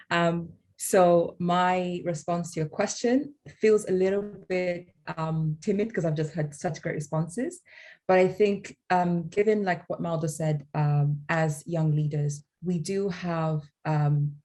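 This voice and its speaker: A woman's voice: sits low, darkish tone. A young woman from Tanzania speaking on a panel, hesitant with many ums. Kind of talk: casual discussion.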